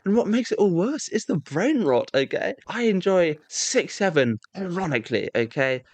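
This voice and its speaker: A young man ranting sarcastically and with exasperation to his audience, breaking into sudden high-pitched outbursts. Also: sing-song, moderate pace, dry recording. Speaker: vlogger. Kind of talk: comedic rant to camera.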